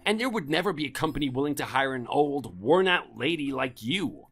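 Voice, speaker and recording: A man's voice: medium pitch, big pitch swings, fairly quick, a bit bright, soft background music. An actor playing a domineering, contemptuous middle-aged husband scolding his wife in a scripted audio drama. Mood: dismissive.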